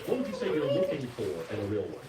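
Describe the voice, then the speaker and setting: A man hosting a dating show in a lively, playful way. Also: fast. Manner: animated, playful, big pitch swings. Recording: roomy, distant mic with noise.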